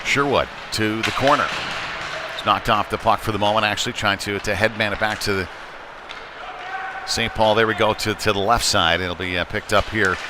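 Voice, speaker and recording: Low-voiced man calling hockey over rink noise. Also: fairly even intonation, moderate pace. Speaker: hockey play-by-play commentator. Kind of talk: live sports commentary. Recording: noisy indoor rink ambience.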